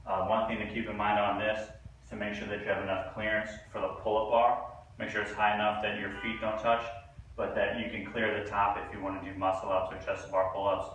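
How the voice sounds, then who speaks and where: A young man giving a casual, relaxed tour of his home garage gym, filling pauses with ums and uhs. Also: lowish voice, slight background noise. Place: two-car home garage gym, indoors. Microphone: camera mic from a few meters away. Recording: echoey garage, distant from mic.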